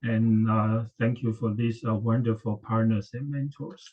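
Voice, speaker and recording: A man's voice: fairly low pitch, fairly even intonation, measured pace. A middle-aged man from Taiwan, a professor, presenting at an academic conference with frequent hesitations and restarts. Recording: dull lo-fi audio.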